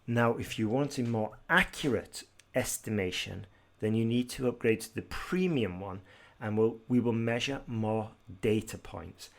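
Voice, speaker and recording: A British man from Britain teaching an exam-prep podcast tutorial in a brisk, direct way, with some fillers and restarts. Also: lowish voice, creaky. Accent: British English.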